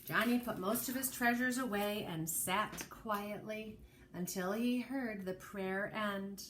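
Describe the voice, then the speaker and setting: A woman teacher reading a children's storybook aloud expressively, pausing to explain things and put questions to the listener. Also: medium pitch, a bit bright, a little hiss or hum. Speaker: early learning teacher.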